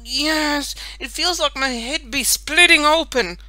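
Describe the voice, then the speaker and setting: A woman voicing a young farm maid in a storybook, wailing dramatically and loudly over her aches. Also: sits high, takes it slowly, pitched above the performer's own voice, heavily acted. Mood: pained, exclaiming. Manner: loud, dramatic wailing and groaning. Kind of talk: audiobook character voice.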